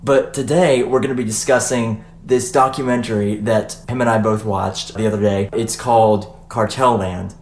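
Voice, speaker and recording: A man's voice: on the low side, fairly even intonation, normal speed, few pauses, a little mellow. A young man hosting a casual podcast, chatting loosely with fillers and restarts. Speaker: podcast host. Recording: echoey room, faint noise.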